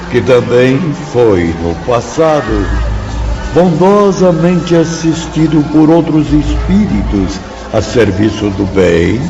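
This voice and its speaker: An actor playing a wise, solemn and patient spirit teacher in a radio drama, speaking in a measured, formal, didactic way. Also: male voice, mid-range voice, vocal fry, highs cut off.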